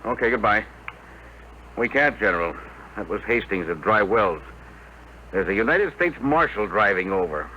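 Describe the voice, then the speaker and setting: An actor playing a bold, plain-spoken rocket builder and pilot in a 1950s radio drama, on an old broadcast recording. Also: male voice, fairly low pitch, animated, moderate pace, long pauses, sharp tone. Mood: dismayed. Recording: muffled, hissy 1950 radio broadcast.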